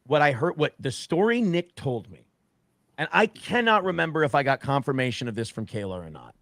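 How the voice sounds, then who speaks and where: A man's voice: fairly high pitch, sing-song, brisk, a bit bright, no echo, studio quality. A man in a played clip telling a story he heard firsthand, repeating and correcting himself as he goes. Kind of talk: casual stream talk.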